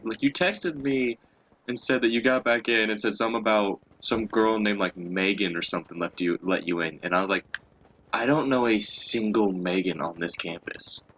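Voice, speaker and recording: A man's voice: on the low side, pushed and bright, a little hiss or hum, poor audio. A young man chatting casually and telling stories as a co-host, in a crude, profanity-laden way. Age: young adult. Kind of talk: casual chat, storytelling.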